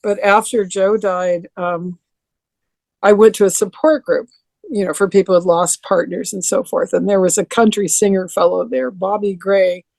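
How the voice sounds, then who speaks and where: An older woman with a deeper voice, reminiscing in a rambling way with many restarts during a video call interview. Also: steady tone, normal speed, vocal fry, a little mellow, dry recording. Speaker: interview guest.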